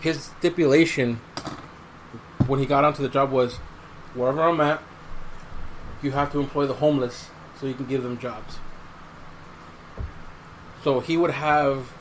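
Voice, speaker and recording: A man's voice: medium pitch, fairly even intonation, a little slow, long pauses, a little hiss or hum, low-quality recording. A man chatting casually as a podcast co-host, repeating phrases for emphasis. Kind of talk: casual chat.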